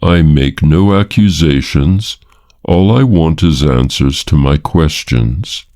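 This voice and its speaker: A man's voice: rumbling low, animated, slow, a little mellow, full character voice. A man reading an audiobook, voicing a grim police inspector who questions in a clipped, formal manner. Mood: cold. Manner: clipped, formal, coolly pressing. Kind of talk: audiobook character voice.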